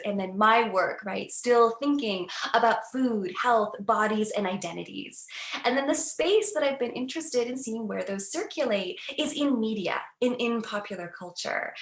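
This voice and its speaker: A woman's voice: fairly high pitch, gravelly, a bit bright, reverberant. A woman in her thirties, raised in the United States, giving an earnest webinar talk as an academic and often tagging her points with "right?". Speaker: academic speaker. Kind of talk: webinar lecture.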